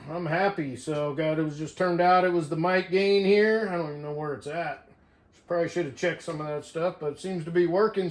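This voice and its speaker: An American man chatting casually and in a folksy way as he hosts a hobby radio video. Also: on the high side. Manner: folksy, says "man" often. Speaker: CB radio operator and video host. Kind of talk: casual hobby vlog chat. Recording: roomy echo.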